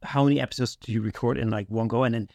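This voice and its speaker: A man's voice: medium pitch, steady tone, rapid-fire, vocal fry, a little mellow, no echo. A man hosting a casual interview, stammering a little as he puts informal questions. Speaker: podcast host, interviewer.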